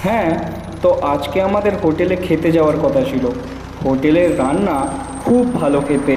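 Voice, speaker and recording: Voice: mid-range voice, brisk, mellow, a little hiss or hum, lightly acted. An actor voicing an eager, chatty young village boy in a cartoon, with a pleading manner. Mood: curious, eager. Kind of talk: cartoon dialogue. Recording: echoey reverb, music behind.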